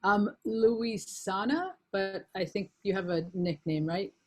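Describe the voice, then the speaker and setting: A woman's voice: mid-range voice, slow pace, a little mellow. A woman acting as moderator, informally thanking and introducing speakers on a panel.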